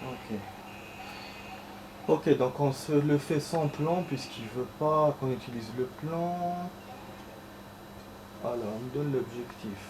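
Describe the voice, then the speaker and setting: A man's voice: mid-range voice, slow pace, long pauses. Guy rambling thru a game, swears a bit, echoey room, noisy background. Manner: chatty, rambling, swears, addresses viewers as friends. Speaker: gameplay streamer. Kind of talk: casual let's-play commentary.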